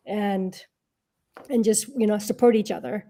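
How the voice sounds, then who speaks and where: A woman's voice: medium pitch, unhurried, mellow. A woman giving conversational interview answers as a guest, explaining informally and often posing rhetorical questions. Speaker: interview guest, real estate and angel investor.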